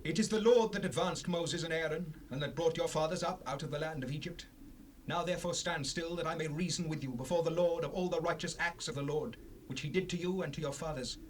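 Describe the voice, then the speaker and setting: A man's voice: on the high side, fairly quick, lightly acted. A man playing an old, stern, righteous prophet in a dramatized scripture recording, speaking in solemn, formal declamation. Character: old grey-headed prophet, stern, righteous leader addressing his people. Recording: noisy cassette rip, distant voice.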